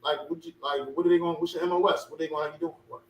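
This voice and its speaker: A man in his forties with a mixed Philadelphia and Baltimore accent, co-hosting a casual podcast chat, animated and joking. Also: on the high side, very fast. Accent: Philadelphia and Baltimore mix. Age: 40s. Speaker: podcast co-host. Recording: roomy echo, far from mic, faint hum.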